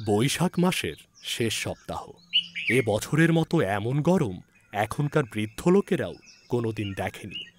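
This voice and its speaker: A man's voice: on the low side, very animated, measured pace, a little hiss or hum. A man reading a scripted story aloud as a narrator, in a dramatic audiobook style.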